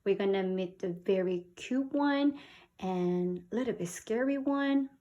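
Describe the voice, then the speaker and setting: A woman from South Korea reading a picture book to young children as a teacher, with simple, expressive talk and questions. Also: medium pitch, a little slow, lo-fi sound. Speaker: children's English read-aloud teacher.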